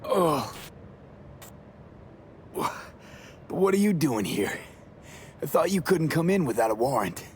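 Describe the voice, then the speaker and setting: A man's voice: fairly high pitch, big pitch swings, slow, pauses often, a little hiss or hum. A man playing a jaded, street-smart mercenary in a video game, blunt and laconic. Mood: uneasy. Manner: laconic, blunt, short sentences.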